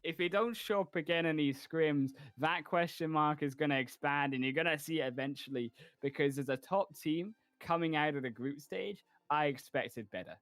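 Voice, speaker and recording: A man's voice: a little high, moderate pace, hardly any pauses, fairly bright tone, no room sound. A man casting esports, analyzing teams fluently and confidently and closing the broadcast. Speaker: esports caster.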